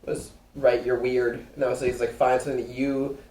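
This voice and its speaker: A woman writer chatting casually on a live stream from a couch, relaxed and laughing, with halting restarts. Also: rumbling low. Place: living room couch. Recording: roomy living room echo.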